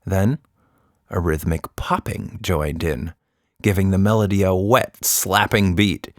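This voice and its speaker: A man narrating a scripted children's story as a storyteller, in an expressive, deliberate manner. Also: low-pitched, no echo, clean studio sound.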